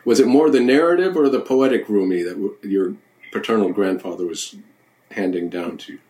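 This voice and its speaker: A man hosting a conversational interview, thoughtful and halting, with frequent restarts. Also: lowish voice, mellow, low-quality recording. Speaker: host.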